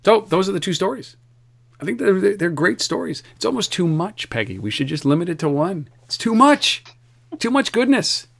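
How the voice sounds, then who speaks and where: A man hosting a podcast in casual chat, energetic, often repeating words as he starts a thought. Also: high-pitched, moderate pace, creaky. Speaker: podcast host.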